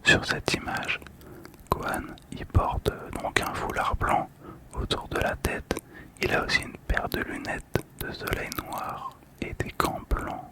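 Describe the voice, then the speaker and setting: A man doing ASMR commentary in a soft, calm whisper, describing things gently and unhurriedly. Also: takes it slowly. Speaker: host of a manga card ASMR channel.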